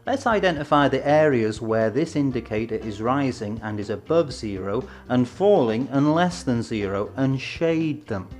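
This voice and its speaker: A man presenting an educational film in a scripted, measured, explanatory way with emphatic phrasing. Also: mid-range voice, a little mellow. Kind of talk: scripted instructional narration. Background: background music.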